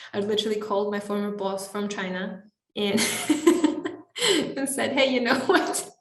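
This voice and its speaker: A woman guest telling her story candidly in an interview, reflective and hesitant, restarting her sentences often. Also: mid-range voice. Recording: roomy echo.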